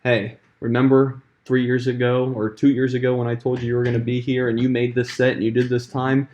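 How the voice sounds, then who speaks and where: A man's voice: mid-range voice, level tone, a little fast, a little mellow, highs cut off. A man who works as a sports scientist, co-hosting a casual podcast chat and explaining things at length.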